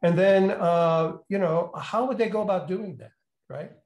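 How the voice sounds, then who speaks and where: A man hosting an interview in a courteous, conversational way, with deliberate phrasing. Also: high voice, darkish tone. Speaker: interview host, business school executive in residence. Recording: roomy, echoing sound.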